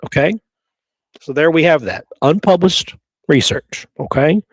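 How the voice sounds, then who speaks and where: A man's voice: fairly high pitch, animated, measured pace, slightly rough, no echo. A man hosting a history show, presenting research emphatically, partly from prepared notes, often checking in with listeners. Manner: emphatic, checks in with rhetorical right and okay.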